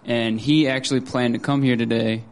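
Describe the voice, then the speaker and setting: A man introduces himself as a first-time visitor in a flat, gravelly voice that hardly moves in pitch. He talks haltingly and repeats himself as he explains. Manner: halting, repeats words, plain-spoken.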